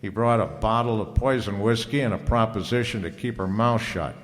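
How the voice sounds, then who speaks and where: Man playing a low-voiced, hard-boiled radio detective. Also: normal speed, lightly acted. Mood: accusing, sardonic. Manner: sardonic, slangy, hard-boiled. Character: cool wisecracking private detective, narrating his own case. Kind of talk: old-time radio detective drama. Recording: reverberant hall.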